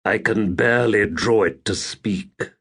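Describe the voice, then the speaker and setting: A man's voice: on the low side, a little slow, vocal fry, a bit bright, slightly rough, full character voice. A man voicing a gaunt, weary, bitter dragon-shifting warrior in a fantasy audiobook, growling in a grim, terse way. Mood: growling, exhausted. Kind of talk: dramatic audiobook character reading.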